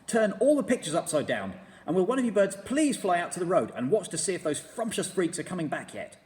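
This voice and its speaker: A high, eager monkey barking out orders in a rush of excitement as a man puts him on for a storybook reading. His voice leaps up and down and trails off into a long reverb. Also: brisk. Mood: excited bossing. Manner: excited and commanding. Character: excitable bossy male monkey leader.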